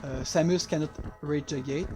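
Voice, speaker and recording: A native French-speaking man with a French accent gives casual, halting playthrough commentary as a game developer, hesitating in non-native English. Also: a little high, steady tone, dark tone, soft background music.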